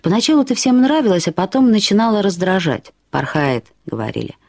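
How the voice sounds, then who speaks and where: An actress narrating a short story as a woman fondly and ironically recalling a friend, with expressive, slightly husky delivery. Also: mid-range voice, a little fast, no room sound, studio quality. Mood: reminiscing, wry. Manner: acts out quoted remarks with lively intonation. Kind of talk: expressive literary storytelling, first-person reminiscence.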